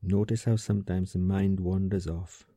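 A man with a low, very dark and relaxed voice leads a sleep meditation. His tone is soft and husky with a creaky edge. He speaks gently and without hurry, leaving room between phrases. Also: no echo, clean studio sound. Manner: soothing, gentle, unhurried, with pauses. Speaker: meditation guide. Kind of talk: guided sleep meditation, calm instruction.

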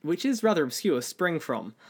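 A man's voice: fairly high pitch, very animated, moderate pace. A man hosting a podcast, putting questions to a guest in a conversational interview style.

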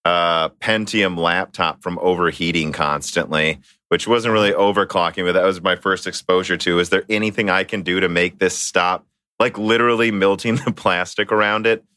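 Host guy, bright punchy voice, chatty, dry close mic. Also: low voice, steady tone, clean tone, dry recording. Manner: quick, chatty, laughs mid-sentence. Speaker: interviewer, host. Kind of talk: casual interview.

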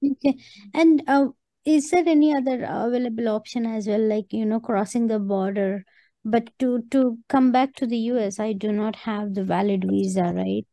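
A woman's voice: a little high, moderate pace, dark tone, dry recording. A woman calling in with questions, speaking in a hesitant, conversational way. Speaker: caller.

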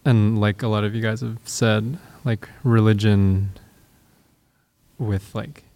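A man with a low, gravelly voice chats casually in a group, pausing often with ums and likes. The sound is dry and close, with no room around it. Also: fairly even intonation, a little mellow, no echo. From Japan and the US. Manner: hesitant, many ums and likes.

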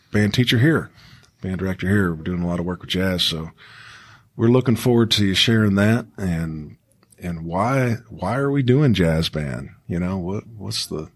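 Male podcast host, low register, dry sound, encouraging and conversational delivery. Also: no room sound. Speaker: podcast host, school music teacher. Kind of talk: casual podcast chat.

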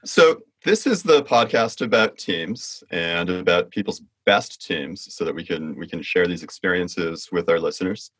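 A man's voice: sits low, lively intonation, measured pace, few pauses, a bit bright, breathy edge, no room sound. A man hosting an interview podcast, welcoming listeners and asking probing, inviting questions. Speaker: podcast host.

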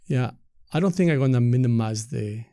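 A middle-aged man with an Ecuadorian Spanish accent speaks in a mellow, creaky voice, recorded close and dry. He is a native Spanish speaker who explains things earnestly in an interview, often posing rhetorical questions to make his point. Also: mid-range voice, expressive, moderate pace, dry recording. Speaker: nonprofit staff member being interviewed. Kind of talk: reflective interview answers.

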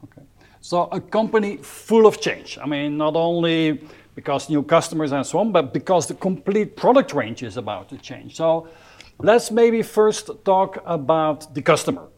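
A man's voice: fairly high pitch, lively intonation, moderate pace, darkish tone. A man hosting a structured business interview, introducing and asking questions in a polite, inquiring manner. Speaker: interview host.

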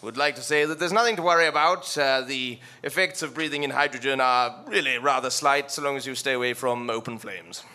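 A man's voice: mid-range voice, a little fast, hardly any pauses, pushed and bright, lightly acted. A man playing a flustered, pompous government minister, posh and laughing through his lines.

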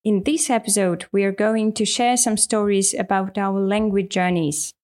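A woman's voice: mid-range voice, steady tone, moderate pace, darkish tone. A woman, co-hosting a casual podcast as an English teacher, talking in a friendly, earnest way with frequent fillers. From Russia. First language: Russian.

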